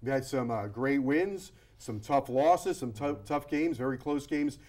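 Man, TV sports host, mid-pitched voice, slow deliberate delivery, studio lapel mic. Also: a little mellow. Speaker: TV show host. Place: TV studio set.

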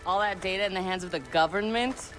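An actress playing a cool, confident young hacker in a video game, wry and self-assured. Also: female voice, medium pitch, fast, faint music behind, lightly acted. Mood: serious. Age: young adult.

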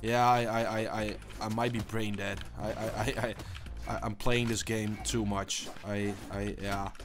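A young man giving casual gameplay commentary, stammering and swearing, swinging between low mumbles and excited yelling. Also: a little slow, music in the background. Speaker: gaming channel host.

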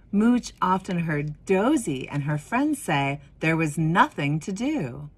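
A woman in her forties reading a children's story expressively, with deliberate pauses and emphasis. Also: low voice, husky. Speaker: minister of religious education reading a picture book.

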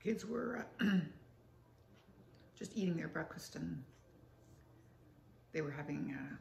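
A raspy, gravelly-voiced woman in her sixties rambles through her day in a plain, matter-of-fact tone. She is recorded on a close camera in a roomy living room with a faint hiss behind her. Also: sits low, lively intonation, slow. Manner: rambling, matter-of-fact, snacking while talking. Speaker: vlogger. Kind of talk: casual chat to camera. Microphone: camera close up on a table.

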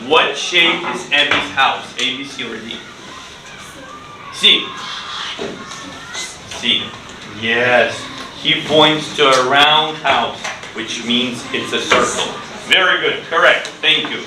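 A middle-aged man teaching an English class to children in simple, deliberate phrases, with repeated words and short instructions. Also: medium pitch. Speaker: ESL teacher. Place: indoor school classroom. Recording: roomy, noisy classroom, distant mic.

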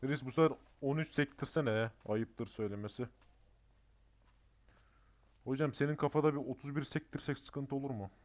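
A man's voice: medium pitch, moderate pace, pauses often, darkish tone. A man chatting casually in an online roleplay game, making crude mock threats with plenty of swearing.

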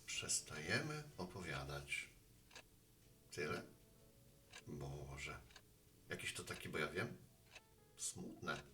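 A man's voice: a bass voice, sing-song, slow pace, pauses often, noisy background, full character voice. A man playing a genial, philosophizing postman in a Polish audio drama, speaking colloquially and thoughtfully. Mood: curious, puzzled. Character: genial male postman, a chatty homespun philosopher.

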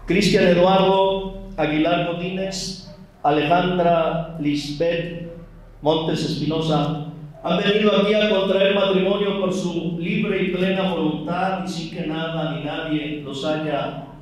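Slow-spoken priest in an echoey church. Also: male voice, high voice, fairly even intonation, far from the mic. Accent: Mexican Spanish. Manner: slow and deliberate, gently scolding asides. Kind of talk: wedding homily.

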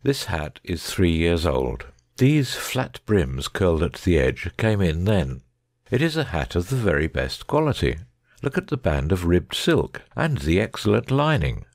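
A man playing a confident, analytical gentleman detective in a dramatic audiobook, precise and didactic. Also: low voice, big pitch swings, unhurried, vocal fry, no room sound, clean studio sound, full character voice. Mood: explanatory.

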